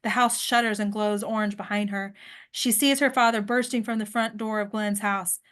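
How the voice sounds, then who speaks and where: A woman's voice: medium pitch, steady tone, normal speed, fairly bright tone. A woman narrating screenplay directions at a table read, now and then stumbling over words and rereading them. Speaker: narrator reading stage directions.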